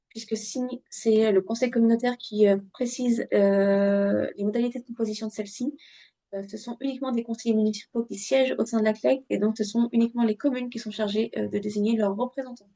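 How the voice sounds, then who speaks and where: A woman with a mellow, relaxed voice gives an instructional online presentation. Her intonation stays quite flat and even. She speaks straight to her listeners in a teaching tone, often pausing on fillers as she searches for the next phrase. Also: on the high side. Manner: hesitant, halting delivery with frequent filled pauses; didactic, addresses listeners directly. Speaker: webinar presenter on local government law. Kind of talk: explanatory webinar presentation from slides.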